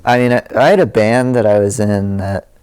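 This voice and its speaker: A man's voice: lowish voice, brisk, darkish tone, smooth. A man guesting on a podcast as a musician, rambling and self-deprecating, laughing often. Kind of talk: casual interview chat.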